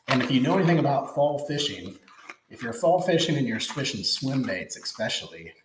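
A man's voice: fairly low pitch, roomy sound, slight background noise, rough recording. A man in his forties hosting a fishing channel, chatting eagerly as he unboxes lures and often repeats himself. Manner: enthusiastic, chatty, repeats words. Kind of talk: casual product unboxing. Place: indoor room with logo backdrop.

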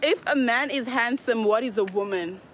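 Bright high-voiced woman quizzing passersby. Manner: upbeat, quick questions, frequent thanks. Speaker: street interviewer. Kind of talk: street quiz interview. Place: outdoors on a street. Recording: street recording with faint noise.

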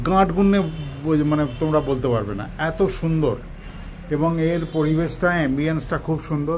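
A man's voice: on the high side, a little mellow, a little hiss or hum. An older man casually narrating a walkthrough of a hotel room and grounds while filming on a phone, talking to viewers as friends. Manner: chatty, addresses viewers as friends. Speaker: travel vlogger showing a hotel. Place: hotel room and garden, indoors and outdoors.

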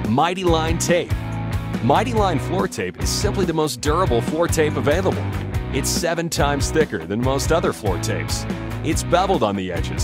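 A man reading a scripted commercial in an upbeat, salesy manner. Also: a little high. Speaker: ad announcer. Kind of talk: scripted radio-style commercial read. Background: clear guitar music.

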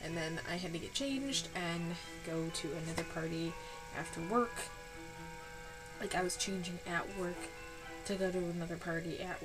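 A woman's voice: lowish voice, normal speed, over music, rough recording. A woman in her twenties from Buffalo, New York, chatting in a rambling, apologetic way in a home haul vlog, sounding nasal, tired and a bit sick. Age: 20s. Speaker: vlogger. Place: dark room at home.

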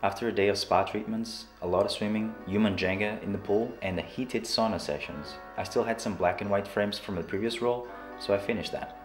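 A man's voice: on the low side, fairly even intonation, brisk. A young man narrating his travel vlog in a scripted way, with dry, self-mocking humor. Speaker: vlogger. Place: small room at home, talking to camera. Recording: roomy echo with soft guitar music.

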